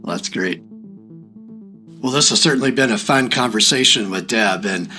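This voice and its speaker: A man's voice: fairly low pitch, moderate pace, pushed and bright, faint music behind. A man hosting a conversational podcast, affirming his guest and explaining points to listeners. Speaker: podcast host. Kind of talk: conversational interviewing and wrap-up commentary.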